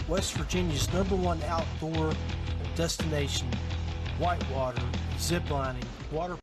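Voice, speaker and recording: A man reads scripted sponsor lines as an advertisement announcer. His voice sits fairly high, and loud guitar music plays under it throughout. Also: fairly even intonation, normal speed.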